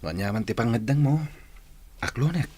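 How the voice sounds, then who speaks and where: Man playing a lively, lovestruck young Filipino suitor. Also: mid-range voice, normal speed, natural voice. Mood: earnest, romantic. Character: eager, lovestruck young village suitor, male. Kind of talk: radio comedy-drama acting.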